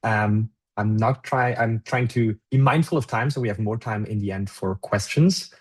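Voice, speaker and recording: A man's voice: on the low side, moderate pace, husky. A man moderating a webinar, politely thanking one speaker and handing over to the next. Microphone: video call.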